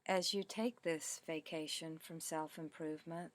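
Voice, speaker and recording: A woman leading a guided meditation in a calm, deliberate way, with measured phrases and pauses. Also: sits low. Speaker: meditation teacher. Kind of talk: guided meditation, body scan narration.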